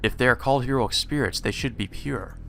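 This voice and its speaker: A man's voice: medium pitch, a little fast, creaky, a bit bright. A man doing a let's play, reading a visual novel's dialogue and narration aloud. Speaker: let's player. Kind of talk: reading game text aloud. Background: game music.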